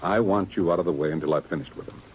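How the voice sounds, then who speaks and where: An actor playing a weary, dutiful aging small-town sheriff with a plain folksy drawl in a 1950s radio drama. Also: male voice, deep voice, racing, darkish tone, natural voice. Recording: old radio broadcast with faint hiss.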